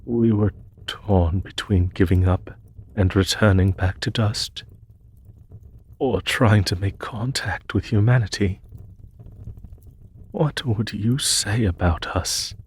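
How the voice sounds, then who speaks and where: Man voicing a low, husky, solemn demon emperor. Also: heavily acted. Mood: bitter sorrow. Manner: slow, measured, sorrowful storytelling with long pauses. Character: faceless male demon emperor, solemn and wistful. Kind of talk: scripted audio roleplay monologue.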